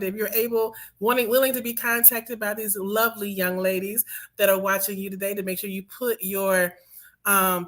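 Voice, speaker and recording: A woman's voice: mid-range voice, a bit bright. A woman hosting an online panel show, talking in an upbeat, chatty way straight to the women watching. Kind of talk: lively show hosting, addressing viewers.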